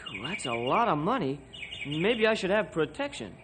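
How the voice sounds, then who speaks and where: An actor playing an eager, earnest young truck driver in an old-time radio drama. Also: male voice, fairly high pitch, big pitch swings, normal speed. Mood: eager, surprised. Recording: very noisy old radio recording.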